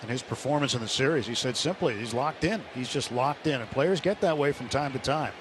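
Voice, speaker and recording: A male baseball color commentator with a mid-range voice, speaking casually and explaining strategy with 'you know' and small restarts. He sounds like a radio booth partner. Loud ballpark crowd noise runs underneath. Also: mid-range voice, a little fast. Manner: conversational, explains with fillers.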